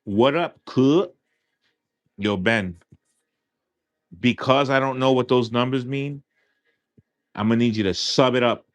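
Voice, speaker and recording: A man's voice: mid-range voice, measured pace, pauses often, dry recording. A man in his thirties hosting a casual livestream, joking and rambling in slangy talk. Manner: joking, rambling, often says "you know what I'm saying". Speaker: livestream host.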